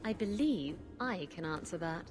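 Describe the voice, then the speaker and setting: A woman's voice: on the low side, expressive, normal speed, over music, natural voice. A woman voicing a wry roguish rogue. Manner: wry, teasing. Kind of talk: video game cutscene acting.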